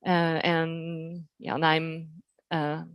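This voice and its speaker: A woman introduces herself to a commission, pausing often with fillers. She speaks very slowly in a lowish voice. The recording is dry and close. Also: completely flat delivery, clear, resonant tone, dry recording. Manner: hesitant, many fillers. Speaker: project team member introducing herself. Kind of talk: introduction at a public meeting.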